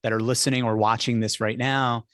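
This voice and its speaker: A man's voice: medium pitch, flat delivery, a little fast, fairly bright tone, no room sound, clean studio sound. A man hosting an interview in a casual, conversational style, telling anecdotes and putting questions to guests. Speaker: interview host.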